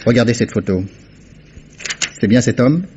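Actor doing a dogged investigator, insistent questions, mid-pitched voice, muffled old radio drama sound. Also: male voice, expressive, moderate pace, a little mellow, slight background noise, natural voice. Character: persistent male amateur investigator, Lombard. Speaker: lead in a radio play. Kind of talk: 1950s radio drama acting. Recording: dull old radio recording.

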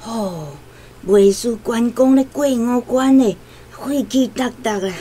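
A woman's voice: on the high side, a little slow, warm tone. An actress playing a stubborn, anxious old grandmother in a radio drama, with a Taiwanese accent and a plaintive, complaining delivery. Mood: grumbling. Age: older.

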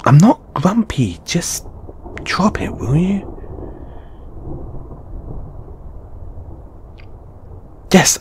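A man voicing a huffy, easily flustered male lamia flatmate in an intimate audio roleplay, halting and defensive. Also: mid-range voice, a little hiss or hum, close to an everyday voice. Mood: grumpy. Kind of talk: intimate one-sided audio roleplay acting.

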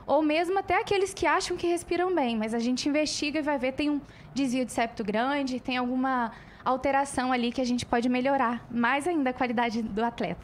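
A young woman with a Brazilian accent, an ENT doctor calmly explaining to a podcast host in a studio on a handheld mic. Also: sits high, brisk, few pauses, slight background noise. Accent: Brazilian Portuguese. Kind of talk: explanatory interview answers.

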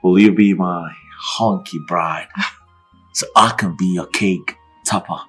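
An actor playing a doting husband with a volatile temper in a film drama. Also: male voice, low-pitched, steady tone, measured pace, fairly bright tone, slightly rough, exaggerated voice. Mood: tender, romantic. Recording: music behind the voice.

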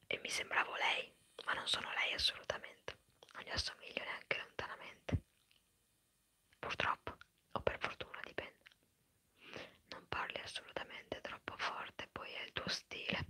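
A woman whispering quickly and chattily in an ASMR live stream, answering viewers' comments. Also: bright, forceful tone, dry recording. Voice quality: breathy whisper. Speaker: ASMR creator.

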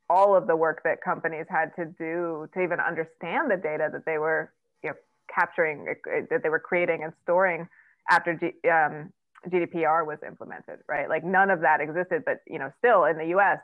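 A woman's voice: lowish voice, dry recording. A woman in her thirties answering questions over a video call from a room at home, talking with many fillers and restarts and gesturing as she goes. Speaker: keynote guest on tech ethics. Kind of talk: conversational Q&A answer. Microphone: earbud headset on a video call. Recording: dry, tinny video-call audio.

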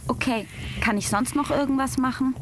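A woman's voice: sits high, normal speed, noisy background, close to an everyday voice. An actress playing a spirited, sceptical girl in an audio drama, musing expressively and half muttering. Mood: eager to help. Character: spirited sceptical girl, helpful, talking to herself. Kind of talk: German audio drama acting.

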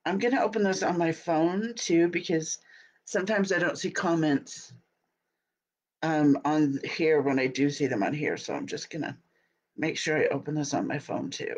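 A woman in her thirties hosting a casual live video from her home office, sounding stuffy and scratchy from a cold, chatty and quick to laugh. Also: deep voice, lo-fi sound. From Utah. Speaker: host. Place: home office, small room indoors. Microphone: webcam at desk.

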